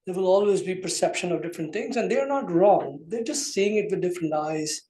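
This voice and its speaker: A man's voice: sits high, fairly quick, dark tone, roomy sound. A man giving a heartfelt farewell speech as a departing member of a township committee, earnest and thankful. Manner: earnest, thankful, plain-spoken. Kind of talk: farewell speech at a public meeting. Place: township meeting room.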